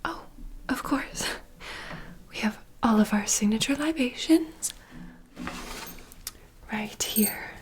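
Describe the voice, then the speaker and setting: A woman playing a poised, courteous vampire bartender in a whispered ASMR roleplay, unhurried and softly teasing. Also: fairly high pitch. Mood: proud, inviting. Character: poised, welcoming female vampire bartender, proud mixologist.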